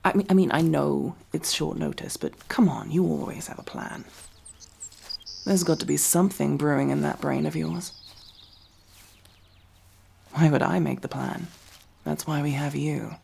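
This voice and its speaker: A woman's voice: low-pitched, fairly even intonation, a little slow, gravelly, long pauses, a little mellow, slightly rough, full character voice. A woman playing a wry, loyal elf rogue in an intimate ASMR fantasy roleplay, with a casual, teasing, dryly supportive way of talking. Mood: thoughtful, encouraging.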